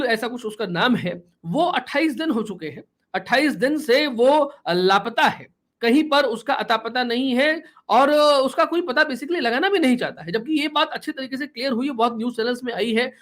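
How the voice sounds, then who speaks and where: A man's voice: extremely high pitch, fairly bright tone. A man giving political commentary to viewers, explaining emphatically and repeating phrases to drive points home. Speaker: political commentator and talk show host.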